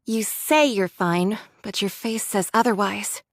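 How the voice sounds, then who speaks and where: A woman's voice: mid-range voice, unhurried, no room sound, studio quality, close to an everyday voice. An actress playing a caring, insistent young female android squadmate in an anime game. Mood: caring, firm.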